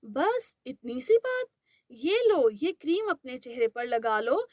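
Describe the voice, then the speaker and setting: A woman voicing a gracious fairy who grants wishes in a cartoon story, speaking calmly and reassuringly. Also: high voice, slow pace, no echo, clean studio sound, voice pushed down, exaggerated voice. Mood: gentle. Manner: calm, reassuring.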